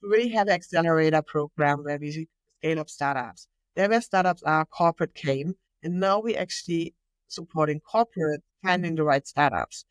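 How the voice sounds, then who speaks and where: A woman's voice: deep voice, normal speed, dry recording, rough recording. A woman with a German accent speaking non-native English, guest on an interview podcast, talking eagerly and fluently with small slips. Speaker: podcast guest, innovation manager. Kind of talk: conversational interview.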